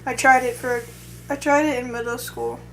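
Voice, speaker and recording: A young adult woman with a somewhat high voice, chatting casually to camera. She rambles and hesitates, restarting her sentences often. A faint hum sits under her voice. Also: steady tone, normal speed, poor audio. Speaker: vlogger. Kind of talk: casual chat.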